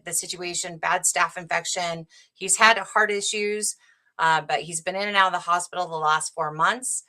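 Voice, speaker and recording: A woman's voice: on the low side, pushed and bright. A middle-aged woman hosting a live interview show, eager but hesitant, with many fillers. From United States. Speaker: host.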